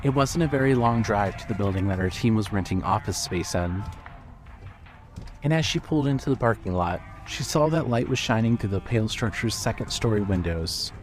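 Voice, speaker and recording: A man narrating scripted fiction as a storyteller, in a measured manner. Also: on the low side, animated, faint music behind.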